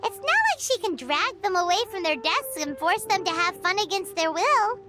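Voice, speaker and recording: A woman voicing a tiny, cheeky, excitable fairy companion in a video game, with a squeaky childlike voice and an expressive, playful delivery. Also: moderate pace, over music. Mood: playful grumbling.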